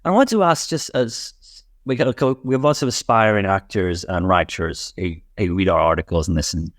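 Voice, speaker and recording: A man's voice: low voice, normal speed, no room sound, studio quality. A man interviewing a guest, eagerly stacking several questions at once.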